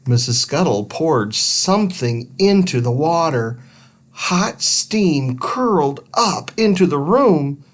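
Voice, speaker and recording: A man reading a children's book aloud in an animated narrating style. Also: a little high, takes it slowly. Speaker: story reader.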